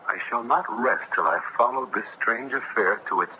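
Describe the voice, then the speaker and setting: An earnest, courtly young judge. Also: male voice, medium pitch, moderate pace, bright, forceful tone. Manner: courtly, formal, intense. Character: earnest, compassionate young judge, obsessed with a mystery. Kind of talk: 1970s radio drama acting. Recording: noisy vintage radio broadcast.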